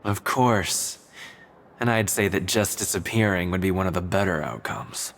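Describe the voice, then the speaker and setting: A man's voice: deep voice, moderate pace, a bit bright, slight background noise. A man voicing a sly, streetwise prisoner in a video game, teasing and matey as he hints at secrets. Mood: teasing, dismissive. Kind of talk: video game cutscene voice acting.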